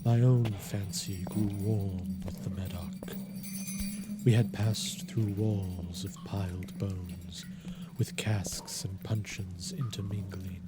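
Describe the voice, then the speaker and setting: A man narrating a gothic story in a measured, formal, dramatic style. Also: low-pitched. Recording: loud music behind the narration.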